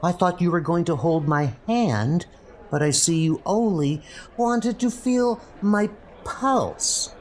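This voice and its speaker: A man's voice: sits high, lively intonation, takes it slowly, a little mellow, pitched above the performer's own voice. A man voicing a breezy, teasing young comic book heroine. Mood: playful. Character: vain, playful young woman, comic book superheroine.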